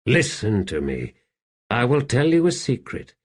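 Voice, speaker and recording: A man voicing a shrewd slave in an audiobook, calm, deferential and measured, speaking quietly. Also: medium pitch, breathy edge, voice pushed up, exaggerated voice. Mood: calm, coaxing. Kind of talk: audiobook character acting.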